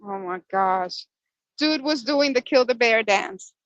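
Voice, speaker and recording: A woman's voice: a little high, animated, slow pace, dry recording. Chatty woman on a dull lo-fi line. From Washington Heights, Manhattan. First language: Dominican Spanish. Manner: chatty, laughs easily, apologetic when she loses her place. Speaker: co-host. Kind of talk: casual livestream chat, reading comments aloud. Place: inside a moving vehicle on a bumpy road.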